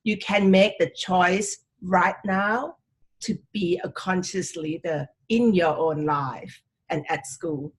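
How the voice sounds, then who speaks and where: Slow low-voiced woman, calm speaker. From Australia. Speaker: guest speaker, leadership trainer. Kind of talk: motivational talk to students.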